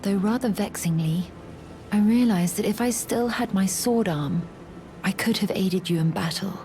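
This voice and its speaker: An actress playing an earnest, stoic young swordswoman in a fantasy game, formal and measured, with breathy phrase endings. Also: mid-range voice, over music, lightly acted. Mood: calm, reflective. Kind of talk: dramatic fantasy game acting.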